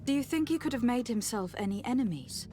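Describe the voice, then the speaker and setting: A woman's voice: a little high, lively intonation, brisk, dark tone, a little hiss or hum, natural voice. An actress playing a polite, composed young noblewoman in a fantasy game, courteous and formal as she speaks. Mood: inquiring. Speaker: voice actor in a video game. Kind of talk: scripted fantasy game dialogue. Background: music.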